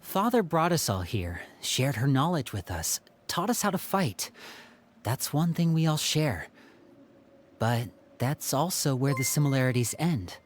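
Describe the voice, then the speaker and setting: An actor playing a calm, polite young man who recounts things in a gentle, measured way. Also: mid-range voice, slight background noise.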